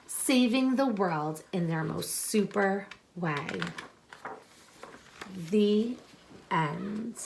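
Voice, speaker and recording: A woman teacher reading a picture book aloud to children, expressive and instructive, pausing to explain and ask questions. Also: medium pitch, gravelly, slight background noise. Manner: deliberate, instructive, addressing young listeners with questions.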